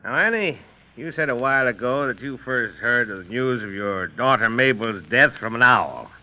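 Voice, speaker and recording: An actor playing a skeptical, probing defense lawyer who questions with condescension in an old radio drama. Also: male voice, mid-range voice, expressive, moderate pace, pushed and bright. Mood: doubtful, probing. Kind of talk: 1930s radio drama acting. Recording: dull old radio recording.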